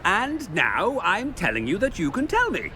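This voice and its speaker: Pompous, high-pitched flustered headmaster. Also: male voice, huge pitch swings, moderate pace, sharp tone, slight background noise, full character voice. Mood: imperious, bluffing. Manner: blustering, stammering, imperious. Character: pompous, flustered, middle-aged male wizard school headmaster. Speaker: school headmaster character. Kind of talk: theatrical video game acting.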